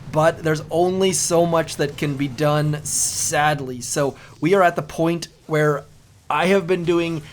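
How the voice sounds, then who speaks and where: A man's voice: a little high, a little hiss or hum. A middle-aged man with a youthful-sounding voice, hosting casual gameplay commentary in a chatty, rambling way and repeating his words. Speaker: game channel host.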